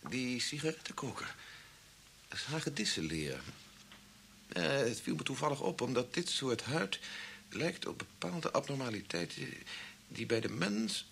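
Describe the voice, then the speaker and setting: A pedantic professor from an old radio play, formal and fussy. His delivery is animated and gravelly, with some hiss on the recording. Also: medium pitch, normal speed, pauses often. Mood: musing, formal. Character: pedantic, self-important male professor. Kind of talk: old Dutch radio drama acting.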